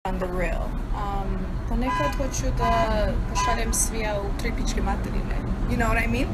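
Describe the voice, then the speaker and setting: A woman's voice: mid-range voice, poor audio. A woman from New York, a native Serbo-Croatian speaker, answering fan questions in a casual, blunt and profane vlog recorded on her phone. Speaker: social media personality.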